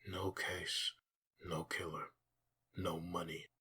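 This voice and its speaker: A man voicing a grim, haunted undead assassin in a brooding comic dub monologue, with a raspy, gravelly growl. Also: low-pitched, level tone, slow pace, bright, forceful tone, exaggerated voice. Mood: brooding, bitter.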